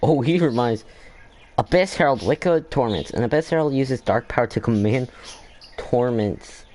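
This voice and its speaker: A man's voice: medium pitch, animated, normal speed, darkish tone, a little hiss or hum. A man giving casual, off-the-cuff commentary while he plays a video game. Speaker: gamer, channel host.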